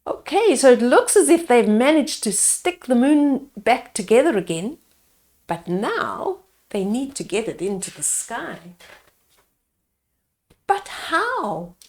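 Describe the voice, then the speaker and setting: A woman narrates a children's storybook at an unhurried pace. Her delivery is highly animated and she explains things for young listeners. The room is noticeably echoey. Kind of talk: expressive bedtime storybook narration.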